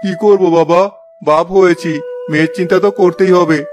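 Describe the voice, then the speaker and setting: A man's voice: high-pitched, fairly even intonation, brisk, music in the background, natural voice. A man playing a poor, weary old village farmer and father in a cartoon, plaintive and resigned. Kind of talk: cartoon fairy tale dialogue.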